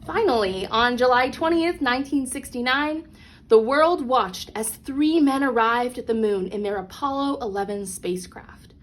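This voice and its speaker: Higher-voiced woman reading a children's book aloud. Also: moderate pace. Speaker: read-along storyteller. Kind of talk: expressive children's picture book read-aloud. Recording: clear background noise.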